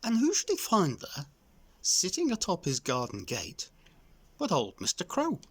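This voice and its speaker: A man narrating a children's story in an expressive, deliberate storytelling manner. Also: high-pitched, breathy edge.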